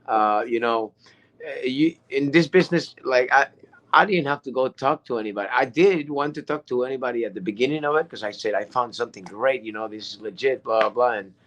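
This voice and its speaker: Thirties man, casual testimonial, halting and repetitive delivery. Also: mid-range voice. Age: 30s. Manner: rambling, repeats words and restarts often. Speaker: online business member.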